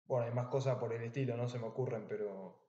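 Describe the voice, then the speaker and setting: A soft-spoken man listening as a student and answering in short agreeing words. His voice sounds muffled and roomy, as if it is coming through a dull call line. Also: medium pitch, steady tone, fast. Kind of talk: tutoring lesson over a call.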